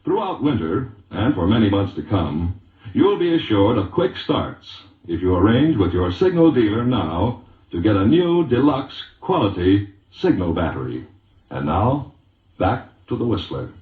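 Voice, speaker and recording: A muffled, roomy old radio recording of an American man with a fairly low voice. He announces scripted advertising in a formal, polished 1940s style. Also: big pitch swings, a little slow.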